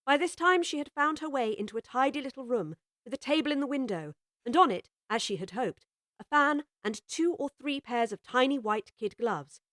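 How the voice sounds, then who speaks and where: A woman narrating a classic children's story in a measured, gently expressive way. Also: high voice, no room sound, clean studio sound.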